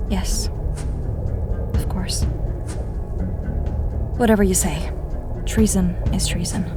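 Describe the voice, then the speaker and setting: A woman's voice: mid-range voice, fairly even intonation, slow, music in the background, natural voice. A woman playing an earnest young woman of firm moral conviction in an audio drama, persuasive and emphatic. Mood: quiet, resigned.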